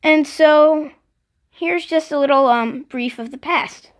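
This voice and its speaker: A vlogger casually showing off a collection, speaking unscripted and haltingly, often stopping to clear the throat. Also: high-pitched. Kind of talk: casual unscripted show-and-tell.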